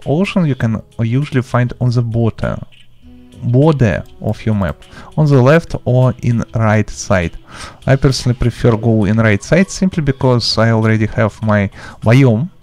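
A man with a non-native English accent giving a gameplay tutorial in halting, choppy phrases with broken grammar. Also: medium pitch, darkish tone. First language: not English. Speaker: game tutorial host.

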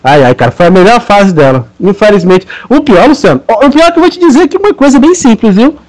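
Man, high voice, Brazilian Portuguese accent, emphatic, podcast co-host. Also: animated, quick pace, poor audio. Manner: emphatic, lecturing listeners. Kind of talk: casual gaming podcast chat.

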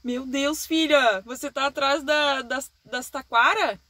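A woman's voice: sits high, slow, pushed and bright. A woman in her thirties with a southern Brazilian accent, chatting casually as a vlogging mother. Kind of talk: casual family vlog chat. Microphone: phone at arm's length. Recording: noisy outdoor phone video.

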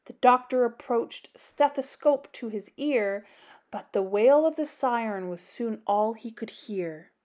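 A woman reading a children's picture book aloud as a storyteller, with an expressive, deliberate delivery. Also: fairly high pitch. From Canada.

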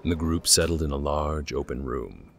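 A man's voice: a bass voice, normal speed, vocal fry, fairly bright tone, faint music behind. A man reading scripted narration for a fantasy audio drama.

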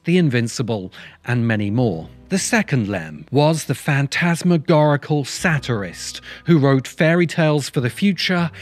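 A middle-aged man reading scripted documentary narration as the host. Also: medium pitch. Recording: music under the voice.

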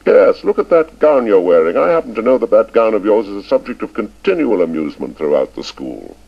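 A man's voice: lowish voice, big pitch swings, brisk, gravelly, breathy edge, voice pushed up, full character voice. A man voicing a cold, stern middle-aged headmaster who speaks in a clipped, formal way. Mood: icy. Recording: dull lo-fi recording.

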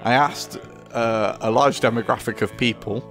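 A man's voice: medium pitch, moderate pace, a bit bright, soft background music. A man giving casual behind-the-scenes commentary as a co-host, chuckly and hesitant in manner. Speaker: co-host, writer and puppeteer.

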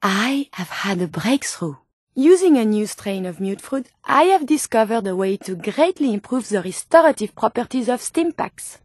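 A woman's voice: a little high, sing-song, normal speed, a little mellow, slightly rough, no echo. A woman playing an earnest, polite female robot scientist in a video game, with a French accent and formal, precise wording. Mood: scholarly. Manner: formal, precise, carefully worded. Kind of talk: video game character dialogue.

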